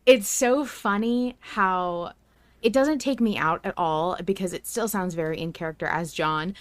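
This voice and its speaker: A woman hosting a casual fan podcast, chatty and reflective, quick to laugh. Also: on the low side, dry recording. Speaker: podcast host.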